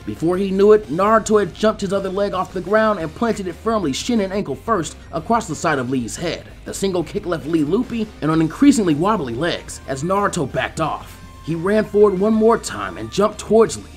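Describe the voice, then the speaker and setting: High-pitched, animated male story narrator. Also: a little fast, hardly any pauses. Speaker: fan fiction audiobook narrator. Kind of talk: expressive scripted story narration. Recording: music behind the voice.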